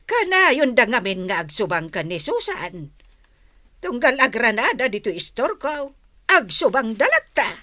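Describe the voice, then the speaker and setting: A woman's voice: on the high side, big pitch swings, measured pace, bright, forceful tone, no echo, clean studio sound, full character voice. A woman playing an earnest, motherly older storekeeper who gives emphatic, admonishing advice in a Filipino radio drama.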